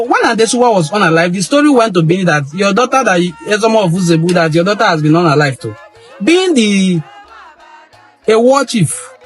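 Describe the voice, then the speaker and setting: A middle-aged Nigerian man giving an impassioned monologue on history, emphatic and repeating phrases for stress. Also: sits high. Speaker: cultural history commentator.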